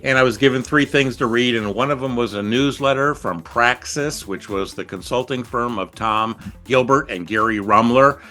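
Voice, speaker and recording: A man's voice: mid-range voice, normal speed, hardly any pauses, pushed and bright, faint music behind. A man hosting a podcast, asking eager, earnest questions of his guest. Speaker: podcast host. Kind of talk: conversational podcast interview.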